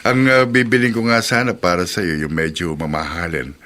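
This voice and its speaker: A man's voice: low voice, fairly even intonation, normal speed, bright, forceful tone. A man playing a sly, lecherous middle-aged mechanic in a radio drama, with a leering, falsely kind manner. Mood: sly, coaxing. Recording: heavy noise behind the voice.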